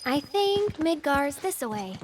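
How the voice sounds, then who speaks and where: A woman voicing a gentle, playful, perceptive young adventurer in video game acting. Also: high voice, very animated, a little slow, background noise. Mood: gentle, teasing. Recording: loud music behind.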